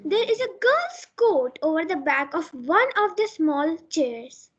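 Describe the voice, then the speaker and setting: Very high-pitched, slow scene narrator. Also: sing-song. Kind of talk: scripted narration of stage directions. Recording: dull, lo-fi sound.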